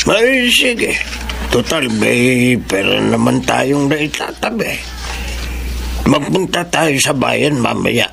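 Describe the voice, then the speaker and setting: Gravelly, slow-talking old grandfather. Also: mid-range voice, big pitch swings, fairly bright tone, slight background noise, full character voice. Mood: fond, pleased. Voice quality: gravelly, creaky. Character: fond elderly grandfather, gentle and generous. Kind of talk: Filipino radio drama acting.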